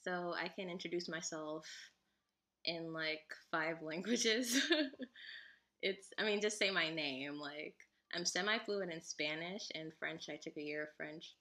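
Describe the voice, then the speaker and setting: Giggly young woman, bright lower voice. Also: measured pace. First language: Igbo. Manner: chatty and giggly, lots of fillers. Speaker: vlogger. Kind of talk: casual chat to camera.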